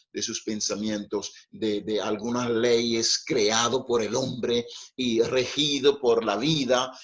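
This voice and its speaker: A middle-aged man, a native English speaker, preaching a Bible study in a careful, teaching manner with frequent checks of "ok?". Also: medium pitch. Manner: slow, deliberate teaching with frequent "ok?" and "pues".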